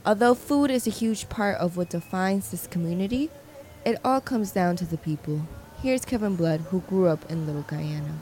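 A woman reporter reading scripted narration in a measured, descriptive way. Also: lowish voice, warm tone, low-quality recording.

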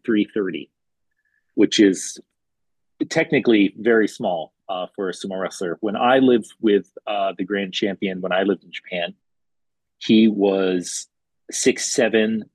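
A man in his forties from Hawaii tells his life story as an interview guest. His low, husky voice stays relaxed and chatty, with easy fillers, and the recording is close and dry. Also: slow, dry recording, poor audio. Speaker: guest, restaurant executive. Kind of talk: conversational storytelling.

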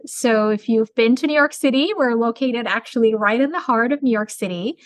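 A middle-aged woman from Turkey, a business school dean, answering interview questions in a measured, articulate, explanatory way. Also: fairly high pitch, dry recording.

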